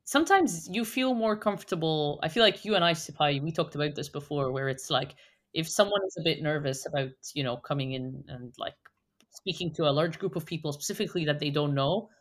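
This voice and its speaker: A woman guest on a podcast, chatting in an animated way and using plenty of fillers like "like" and "you know". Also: deep voice, fairly quick. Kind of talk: casual podcast conversation.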